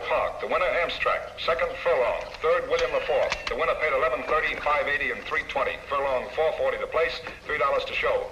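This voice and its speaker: An actor playing a brisk radio announcer reading horse-race results in a 1950s film. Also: male voice, fairly high pitch, natural voice, low-quality recording. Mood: businesslike.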